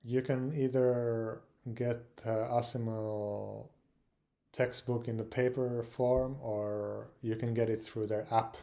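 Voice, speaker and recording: Hesitant slow-talking man. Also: medium pitch, flat delivery, vocal fry, dark tone. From Finland. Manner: hesitant, many fillers and repeated words. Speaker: language learning channel host. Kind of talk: casual spoken advice.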